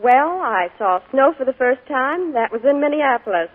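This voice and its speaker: A woman's voice: sits high, lively intonation, moderate pace. Cheerful young woman, radio guest. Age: young adult. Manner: cheerful, modest, earnest. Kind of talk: scripted radio interview. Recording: tinny 1940s radio broadcast.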